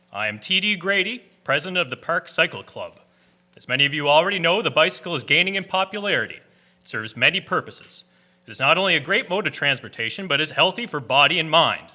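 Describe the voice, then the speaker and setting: Radio play role: formal 1890s club president, male actor, oratorical and earnest, slightly high, animated voice. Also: fast, heavily acted. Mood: earnest, declamatory. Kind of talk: community radio drama speech in early live radio manner.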